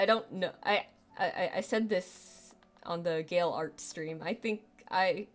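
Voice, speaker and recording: A young woman with a youthful, girlish voice, chatting casually on a live stream, rambling and self-deprecating with lots of restarts and fillers. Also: low-pitched.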